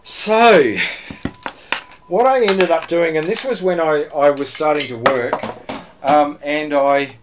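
A man's voice: on the high side, slow, background noise. An older man presenting to a radio club in a casual, rambling show-and-tell, with lots of fillers and restarts, recorded in a small room on a camera mic. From Australia. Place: small room with green backdrop.

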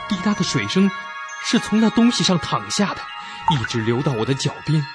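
Animated male horror storyteller acted by a man. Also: fairly high pitch, fairly quick. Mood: horrified. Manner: suspenseful, theatrical storytelling. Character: frightened young male traveller telling his story. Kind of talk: dramatic first-person horror narration, radio drama. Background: loud background music.

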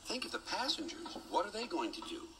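A man's voice: high voice, wildly swooping pitch, fairly quick, slight background noise, voice pushed up, exaggerated voice, low-quality recording. A man voicing a little old male steam engine who chats fondly and scolds firmly, in a children's storybook show. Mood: kindly. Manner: warm and chatty, then stern.

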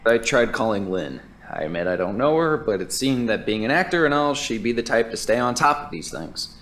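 A man's voice: mid-range voice, animated, brisk, fairly bright tone, natural voice. A man playing an earnest, self-important keyboardist turned amateur investigator in a scripted audio drama. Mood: pondering. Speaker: podcast host and synth player.

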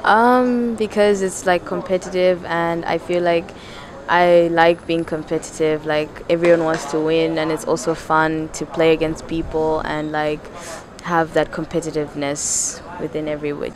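A young woman tennis player talks casually between fillers. Her voice sits somewhat low. A crowd murmurs around her. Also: flat delivery, moderate pace. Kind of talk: casual interview answers. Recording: crowd noise behind.

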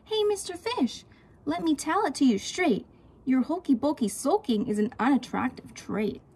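A woman's voice: expressive, moderate pace, darkish tone. A woman voicing a blunt, bossy octopus in a children's storybook, scolding in rhyme. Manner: high-pitched, scolding rhyme.

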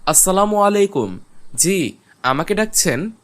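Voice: medium pitch, lively intonation, measured pace, lightly acted. A performer playing a polite, earnest and eager village boy in a cartoon. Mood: polite, helpful. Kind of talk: cartoon voice acting.

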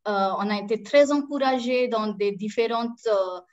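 A woman's voice: fairly high pitch, normal speed. A woman in her thirties from India, a native Marathi speaker with an Indian accent, talking as a guest on a video call, with many hesitant fillers and restarts. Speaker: guest, Sanskrit teacher. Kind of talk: casual interview. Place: small room indoors. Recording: dull lo-fi video call audio.

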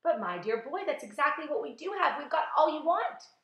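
Lady doing an old grasshopper guy from a kids book, excited and emphatic, echoey room. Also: high voice, a little fast, voice pushed up. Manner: sharp, emphatic exclaiming. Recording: echoey, roomy sound.

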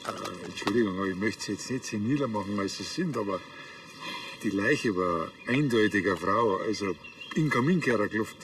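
A man's voice: fairly low pitch, lively intonation, normal speed, fairly bright tone, far from the mic, a little hiss or hum. An actor playing a naive, easygoing, slightly fussy village policeman in a TV crime comedy, rambling. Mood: mild, earnest. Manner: hesitant, good-natured, rambling.

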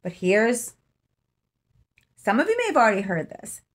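A woman's voice: fairly high pitch, very animated, normal speed. A middle-aged woman giving casual true-crime commentary to camera, conversational and thinking aloud, recorded on a phone at arm's length in a room at home. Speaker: vlogger. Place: small room indoors, seated in an armchair.

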